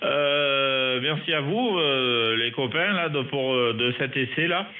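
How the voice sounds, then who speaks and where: A man's voice: medium pitch, takes it slowly. A man chatting casually as an amateur radio operator, hesitant and repeating short phrases, on a narrow radio sound. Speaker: amateur radio operator, recording for his online channel. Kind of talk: casual ham radio chat.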